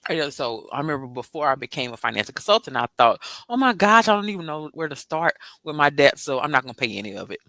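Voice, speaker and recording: Lively, bright-voiced woman financial planner. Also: low voice, fast, few pauses, no room sound. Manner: animated and preachy, keeps asking "right?"; laughs often, swings into lively voiced asides. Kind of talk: upbeat motivational interview talk.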